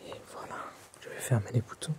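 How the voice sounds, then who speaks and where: A man doing a soft-spoken, half-whispered ASMR tailor roleplay in a polite, formal, attentive manner. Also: fairly quick.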